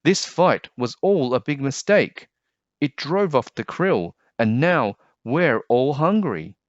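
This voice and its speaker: A man's voice: medium pitch, sing-song, slow, dry recording, highs cut off, pitched above the performer's own voice. A man voicing an earnest, pleading little hero fish who seeks peace in a children's story.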